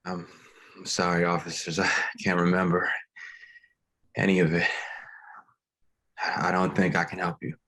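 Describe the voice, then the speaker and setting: A man playing a gentle, battered pastor in a hospital bed, weary and halting in a script read-through. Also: low voice, little pitch movement, heavily acted, poor audio. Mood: weary, apologetic. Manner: weary, halting, softly apologetic. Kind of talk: screenplay table read acting.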